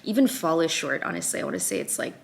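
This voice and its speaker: A woman in her thirties, slightly raspy and half awake, chatting in a casual home vlog, upbeat and full of "like" fillers. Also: sits low, sing-song, fast. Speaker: lifestyle vlogger.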